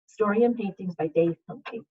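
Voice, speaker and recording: Female primary teacher, slightly high and breathy-rough, measured children's read-aloud delivery, narrow video-call sound. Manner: measured, simple phrasing for young listeners. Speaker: second grade teacher. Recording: muffled, phone-like video call audio.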